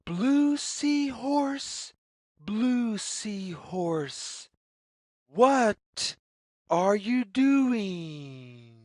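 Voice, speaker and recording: A woman reading a children's story aloud in a deliberate, singsong way, as if inviting young listeners to follow along. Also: on the high side, big pitch swings, a little mellow, no echo, studio quality. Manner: deliberate, singsong, repetitive phrasing.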